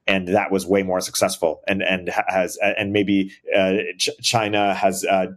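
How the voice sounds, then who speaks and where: Host guy, low monotone voice, stumbling thru a long question on a laptop call. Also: moderate pace. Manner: hesitant, lots of fillers and restarts. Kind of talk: casual interview question. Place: bright home room.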